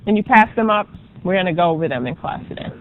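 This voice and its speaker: A woman professor lectures to a classroom with a loud, carrying voice. She talks in a brisk, chatty way and fills her pauses with ums. The recording is dull and lo-fi. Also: on the low side, expressive, a little hiss or hum. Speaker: auditing professor.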